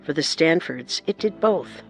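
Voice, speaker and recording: Measured documentary narrator over music. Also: mid-range voice, animated.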